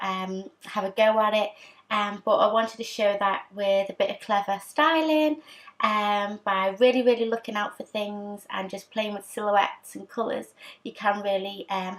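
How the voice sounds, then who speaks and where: A young woman vlogger chatting candidly to camera, her voice husky from a sore throat, with frequent ums. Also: medium pitch. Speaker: fashion vlogger. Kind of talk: casual chat to camera.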